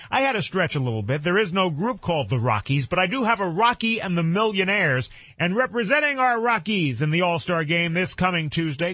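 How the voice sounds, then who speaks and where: A man's voice: high voice, dry recording. An American man hosting an oldies doo-wop radio show with upbeat, punchy announcer patter between songs. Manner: animated, punchy announcer delivery. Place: dry radio studio. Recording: dull, lo-fi AM radio aircheck.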